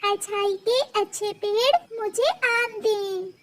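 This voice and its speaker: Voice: very high-pitched, fairly even intonation, takes it slowly, a loud crowd, voice pushed up. कलाकार की आवाज़ में बहुत पतली आवाज़ वाली मासूम नन्ही चिड़िया. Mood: polite, earnest. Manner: pleading, polite, childlike. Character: meek, dutiful young female little bird, polite and tearful.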